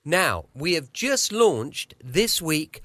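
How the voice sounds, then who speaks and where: A man's voice: fairly high pitch, big pitch swings, slow pace, sharp tone, dry recording. A British man hosting a podcast, introducing it in a chatty, informal and jokey way. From southwest of the United Kingdom. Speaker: podcast host.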